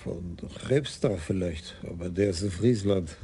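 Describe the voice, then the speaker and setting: An actor playing a weary, dry-humored senior police commissioner in a 1980s radio drama. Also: male voice, deep voice, unhurried, dark tone, a little hiss or hum. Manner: dry, sighing, clipped.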